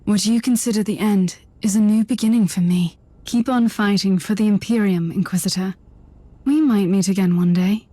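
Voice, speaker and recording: A woman's voice: mid-range voice, moderate pace, dark tone, dry recording, lightly acted. An actress voicing a composed, enigmatic female adversary, cool and portentous in a video game cutscene. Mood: composed, taunting.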